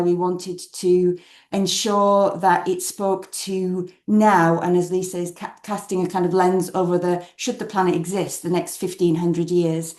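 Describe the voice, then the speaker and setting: A woman's voice: fairly low pitch, dark tone. A woman presenting her creative work as an arts director in an online talk. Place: indoor room on a video call. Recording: roomy, echoing online call.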